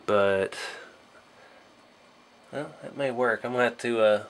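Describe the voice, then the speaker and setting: A man talks through a hands-on hobby project in a casual vlog style. He sounds unassuming and a little hesitant, working out his thoughts aloud as he goes. His pitch sits in an ordinary middle range for a man. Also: a little slow. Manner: modest, hesitant, thinking aloud. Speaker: hobby channel host.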